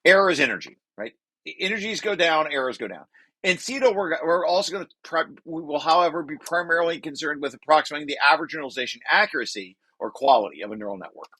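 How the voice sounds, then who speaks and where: A man's voice: fairly high pitch, animated, fast, pushed and bright, breathy edge, no echo, low-quality recording. A man reading through a technical paper draft like a lecture, explaining as he goes with informal asides and often breaking off to rephrase.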